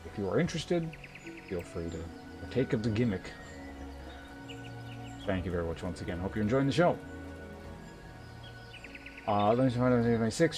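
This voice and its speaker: A man live streaming a game, chatting casually with viewers in a rambling, polite way as he thinks aloud. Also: mid-range voice. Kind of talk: casual chat while streaming a game, reading viewer messages. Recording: music behind the voice.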